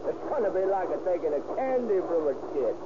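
An actor playing a bossy, tough gangster leader in an old radio drama, talking in gruff, slangy tough-guy speech. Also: high voice, big pitch swings, brisk, muffled recording. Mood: boastful. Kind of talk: 1930s radio acting. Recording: scratchy old radio recording with hiss.

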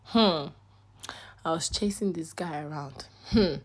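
A woman with a Nigerian accent co-hosting a casual podcast, animated and laughing often as she tells stories. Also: sits low, slow, breathy edge. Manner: animated, laughs often, calls listeners y'all and guys. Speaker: podcast co-host. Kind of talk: casual chat.

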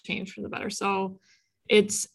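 A woman's voice: mid-range voice, monotone, measured pace, creaky. A woman in her thirties hosting a casual faith podcast, chatty, laughing often and saying "like" a lot. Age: 30s. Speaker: podcast host. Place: in a room with a co-host, in person.

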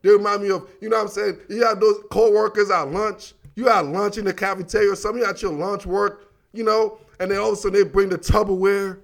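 An American man giving casual, slangy football commentary in an animated, emphatic, repetitive manner, talking straight to his listeners. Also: high voice, fast, gravelly. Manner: animated, emphatic, repeats himself, addresses listeners as dog and baby. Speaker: sports commentator, host.

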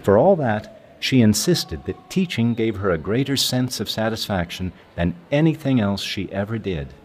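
A man's voice: on the low side, big pitch swings, moderate pace, slight background noise. A man narrating a scripted documentary as its narrator and interviewer.

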